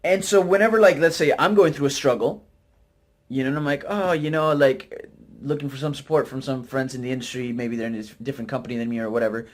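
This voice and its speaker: A man's voice: mid-range voice, steady tone, quick pace. Hesitant, candid American man vlogging casually. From Utah, United States. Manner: hesitant, self-deprecating, rambling with informal slang. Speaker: vlogger, network marketer. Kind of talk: casual, candid talk to camera.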